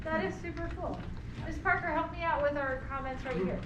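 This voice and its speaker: A woman's voice: high voice, a little fast. A woman narrating stage directions and leading a discussion, encouraging and often repeating her phrases. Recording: noisy echoey room, far from mic.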